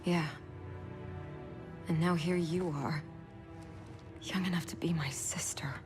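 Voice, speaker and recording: A woman's voice: lowish voice, fairly even intonation, slow. An actress playing a brash young woman soldier who talks bluntly and casually. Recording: game cutscene over music.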